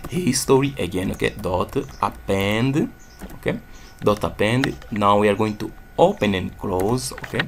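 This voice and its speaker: Man from Angola, low gravelly voice, faint hiss behind, coding tutorial, keeps saying okay. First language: Portuguese. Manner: says "okay?" after nearly every phrase, thinks aloud while typing. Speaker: programming tutor. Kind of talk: screen-recorded coding tutorial.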